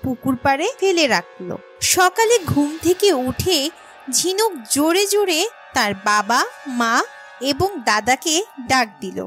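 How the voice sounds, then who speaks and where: A woman's voice: sits high, sing-song, a little slow, fairly bright tone, faint music behind. A woman narrating a children's cartoon story as scripted storytelling.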